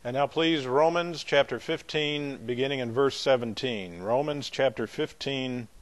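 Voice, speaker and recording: A man leading a Bible study as pastor, chatting in a folksy, easygoing way between readings. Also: medium pitch. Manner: folksy, chatty asides with light laughs; measured, emphatic scripture reading.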